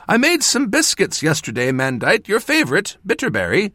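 A man voicing an older, warm, effusive farm mother who exclaims with joy. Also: high-pitched, wildly swooping pitch, normal speed, no room sound, clean studio sound, pitched above the performer's own voice, full character voice. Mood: gushing.

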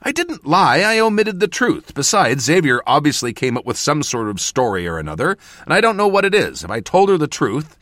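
A man's voice: medium pitch, big pitch swings, normal speed, a bit bright, no echo, studio quality, pitched above the performer's own voice. A man voicing an earnest, defensive male mage mentor in an audiobook, given to careful explanation. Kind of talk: audiobook character voice.

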